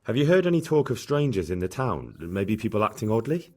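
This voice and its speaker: An actor playing an earnest, inquisitive young blacksmith's son in a medieval video game, asking polite, direct questions. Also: male voice, lowish voice, big pitch swings, a little fast, darkish tone, dry recording. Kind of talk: video game dialogue acting.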